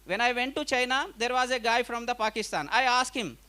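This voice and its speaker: A man's voice: very high voice, a little fast, pushed and bright, clean tone. A man from India gives an informal conference lecture in an emphatic, conversational way, often repeating himself and checking in with listeners. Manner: emphatic, repetitive, conversational, with frequent check-in tags. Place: live conference talk.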